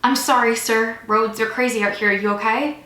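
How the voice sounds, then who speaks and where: A woman voicing a distraught young man in a story, shouting and pleading with raw emotion. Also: a little high, steady tone, normal speed. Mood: shaken, shouting. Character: grief-stricken young man screaming for his beloved. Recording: echoey, roomy sound.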